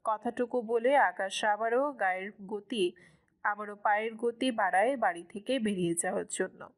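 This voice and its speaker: A woman's voice: mid-range voice, normal speed, low-quality recording. A woman reading scripted narration as the storyteller of a dramatic romance story.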